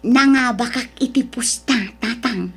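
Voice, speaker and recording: Husky, high-voiced young woman played by an actress. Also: unhurried, exaggerated voice. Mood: cheerful, coaxing. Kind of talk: Filipino radio drama acting.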